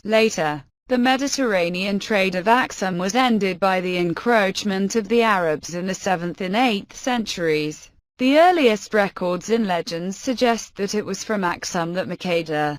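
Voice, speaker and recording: A woman narrator reading scripted encyclopedic text aloud in a formal, measured manner. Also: mid-range voice, no room sound.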